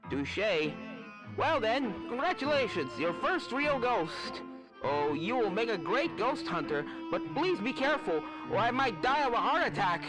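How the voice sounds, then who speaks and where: A man's voice: high voice, big pitch swings, moderate pace, poor audio. A man voicing a fretful, kindly eccentric professor pony in a fan story reading, fussy and theatrical. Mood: impressed, worried. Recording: loud game music under the voice.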